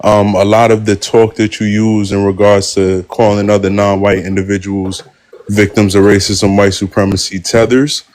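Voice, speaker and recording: A man from Brooklyn, New York, calling in with a sore throat, speaking in a measured, hesitant, polite way. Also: lowish voice, level tone, a little mellow. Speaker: caller.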